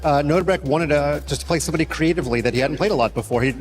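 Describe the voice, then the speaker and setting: A man's voice: on the high side, very fast, a little hiss or hum. A man working as a chess broadcast analyst, giving conversational sports-style analysis with folksy, colloquial phrasing.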